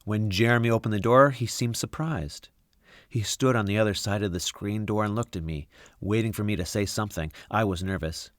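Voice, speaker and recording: A man reading a children's story aloud in an expressive storytelling style. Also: fairly low pitch, brisk, no echo, studio quality. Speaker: storybook reader.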